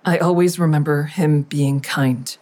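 An actress playing a plain-spoken, wounded grown daughter in naturalistic audio drama dialogue. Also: female voice, sits low, fairly even intonation, unhurried, darkish tone. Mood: wistful. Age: adult.

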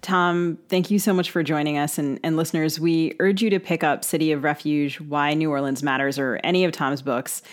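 A woman's voice: low voice, steady tone, moderate pace, few pauses. A woman interviewing a guest as co-host of a literary podcast.

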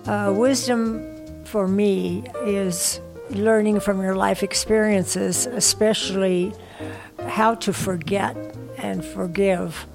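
An older woman reflecting gently on wisdom in an interview. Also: mid-range voice, steady tone, slow, creaky, music in the background.

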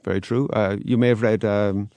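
An Irish man, a guest in an interview, answering in a relaxed, anecdotal way. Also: lowish voice, moderate pace, no room sound. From Ireland.

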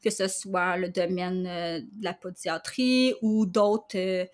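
A woman with a Québécois French accent, chatting as a podcast guest, animated and full of fillers. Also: lowish voice, slow pace. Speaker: guest copywriter. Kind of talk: casual podcast conversation.

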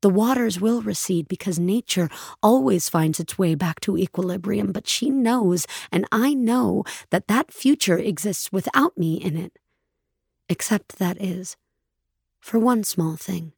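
A haunted starship poet, narrates a science-fiction audio drama with solemn, lyrical deliberation. Her voice is husky and creaky, and she takes her time over each phrase. It sounds dry and close. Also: mid-range voice, a little slow, a little mellow, no echo. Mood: grave, resolute. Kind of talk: dramatic first-person scripted narration. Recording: dry studio with music behind.

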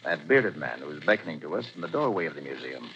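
An actor playing a calm, assured male detective who speaks in a measured, authoritative way in a 1940s radio drama. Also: a bass voice, exaggerated voice. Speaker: private detective. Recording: dull 1940s radio recording, faint hiss.

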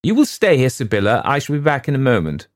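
A man's voice: medium pitch, very animated, fast, pitched above the performer's own voice, natural voice. A man voicing an impatient, sardonic older doctor who speaks in curt commands.

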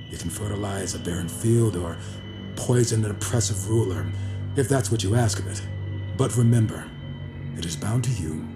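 A man playing a grave mystic in an audio drama, speaking in solemn, measured, cryptic phrases. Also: on the low side. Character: grave mystic man explaining an ancient blood ritual. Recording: dramatic music behind the voice.

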